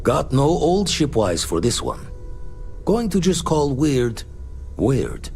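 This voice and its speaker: An actor playing a thoughtful space explorer in a video game, speaking in folksy, clipped phrases. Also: male voice, a little high, big pitch swings, takes it slowly, darkish tone, heavily acted. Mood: musing, explanatory. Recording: music behind the voice.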